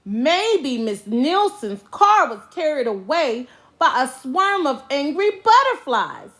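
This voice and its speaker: A woman reading a children's storybook, voicing excitable, mischievous young schoolchildren in an eager, exclaiming way. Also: sits high, slow pace, exaggerated voice. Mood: speculating. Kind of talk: children's storybook read-aloud.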